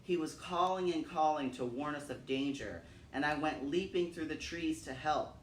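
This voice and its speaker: Man as a hushed nervous monkey, echoey. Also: a little high, normal speed, a little hiss or hum, lightly acted. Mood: nervous, pleading. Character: nervous, apologetic male monkey blaming others. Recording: roomy, echoing, distant microphone.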